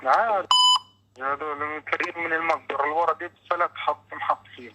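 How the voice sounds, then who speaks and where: Man, Palestinian Arabic accent, slightly high voice, casual conversation, heavily noisy phone recording. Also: fairly even intonation, unhurried. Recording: muffled, very noisy intercepted phone call.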